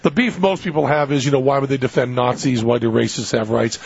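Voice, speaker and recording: A man with a Western Massachusetts accent hosting a radio show, telling stories in lively, animated bursts. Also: mid-range voice, quick pace, vocal fry, slightly rough, no room sound. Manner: animated storyteller, acts out quotes. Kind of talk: casual radio chat. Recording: dull radio audio.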